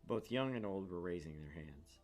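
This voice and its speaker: Deep-voiced playful male game streamer. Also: lively intonation, moderate pace, darkish tone, soft background music. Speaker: streamer, co-host. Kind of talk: visual novel narration with casual stream chat.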